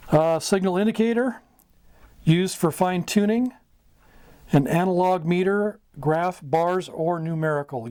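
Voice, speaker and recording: A middle-aged American man with a slightly high voice teaches informally. He moves through a checklist in short, halting phrases. The sound is close and dry, recorded indoors. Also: a little mellow, no room sound. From United States. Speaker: amateur radio mentor, video host. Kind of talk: informal instructional explanation at a whiteboard. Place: small wood-panelled room indoors.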